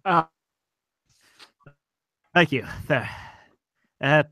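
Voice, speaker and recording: A woman's voice: sits low, expressive, very slow, a bit bright, slight background noise, exaggerated voice. A woman playing a polite, accident-prone, silly character with exaggerated exclamations in a hammy old-time radio parody. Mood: apologetic, giggly.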